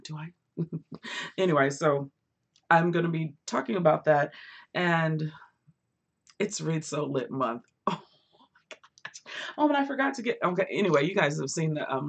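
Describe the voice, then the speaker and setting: A woman hosting a live book chat from home on her phone, chatty and quick to laugh, with a voice that is going hoarse. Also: deep voice. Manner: chatty, laughs often, rambling asides. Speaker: book vlogger hosting a livestream. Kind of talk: casual live chat with viewers.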